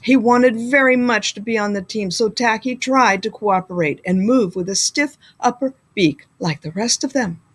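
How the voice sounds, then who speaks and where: A woman reading a children's story aloud like a school librarian, with deliberate, expressive delivery. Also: medium pitch.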